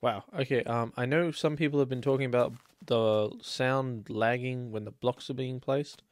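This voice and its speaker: A man's voice: medium pitch, fairly even intonation, moderate pace, no echo. A man with a slight cold, chatting casually and rambling as he plays a building game. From Australia. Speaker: let's play host. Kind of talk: casual gameplay commentary.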